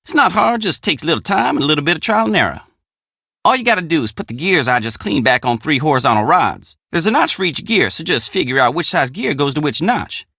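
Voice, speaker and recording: Animated, folksy young Southern country guy. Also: fairly high pitch, fast talker, vocal fry, breathy edge, no echo, studio quality, full character voice. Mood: matter-of-fact. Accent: American Southern. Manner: folksy, drawling, colloquial. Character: folksy, earnest, excitable young country handyman. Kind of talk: video game character dialogue.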